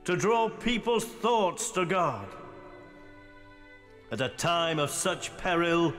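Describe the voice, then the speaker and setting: A solemn preacher speaks in a long, churchlike reverb over music. His delivery is slow and oratorical, pitched a little high for a man, as in a radio drama eulogy. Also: fairly bright tone. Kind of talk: radio ballad drama, funeral oration.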